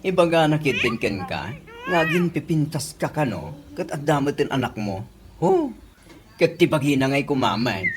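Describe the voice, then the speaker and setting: A man's voice: fairly high pitch, very animated, moderate pace. Guy acting a grumpy older ilocano dad, nagging and mocking, really swoopy voice, noisy radio comedy. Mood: scolding. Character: exasperated, scolding older father.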